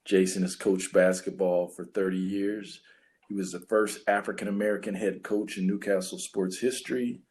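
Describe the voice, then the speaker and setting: A man's voice: low-pitched, flat delivery, normal speed, a little mellow. A man from western Pennsylvania co-hosting a podcast, introducing a guest and asking questions in a conversational way. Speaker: podcast co-host. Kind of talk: casual interview hosting.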